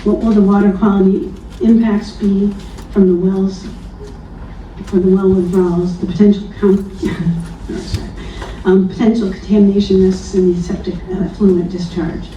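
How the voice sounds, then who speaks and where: Woman with a dark, flat voice. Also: mid-range voice, moderate pace, a little hiss or hum. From New Lebanon, New York. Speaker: public commenter. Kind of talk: reading a prepared public comment. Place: town hall meeting room. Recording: echoey distant lo-fi hall recording.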